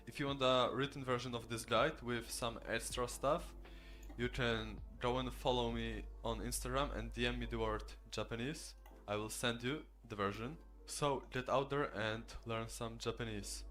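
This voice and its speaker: A man's voice: medium pitch, fairly even intonation, normal speed, fairly bright tone, faint music behind. A man whose first language is Polish, giving earnest step-by-step study tips in a tutorial video. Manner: earnest, instructive.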